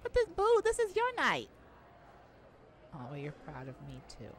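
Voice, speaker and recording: A woman's voice: on the low side, huge pitch swings, measured pace, a little hiss or hum. A woman voicing an affectionate, modest young male bar singer in an intimate ASMR roleplay, sweet-talking and hesitant. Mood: bashful, excited.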